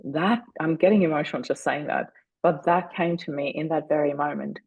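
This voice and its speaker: A woman in her forties from Austria, a German speaker, who talks as a podcast guest and tells her story earnestly and deliberately, slowing down on key moments. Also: low voice, darkish tone, husky, no echo, rough recording. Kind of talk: reflective storytelling.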